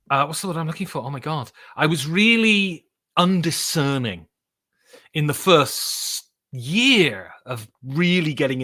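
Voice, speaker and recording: A middle-aged man with a bright, forceful voice that sits a little high. He talks casually on a live stream, rambling and emphatic, often stopping to correct himself or answer his chat. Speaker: board game content creator and live streamer.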